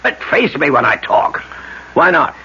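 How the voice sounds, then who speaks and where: A man's voice: on the high side, very animated, a little slow, bright, forceful tone, slightly rough, heavily acted. An actor playing a stern, unyielding older father in an old radio drama, commanding and scornful. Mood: demanding. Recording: dull old radio recording.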